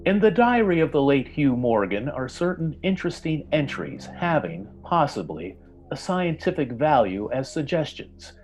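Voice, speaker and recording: A man narrating a scripted tale in the style of an old time radio drama, with measured, grave storytelling. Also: fairly high pitch, sing-song, a little mellow.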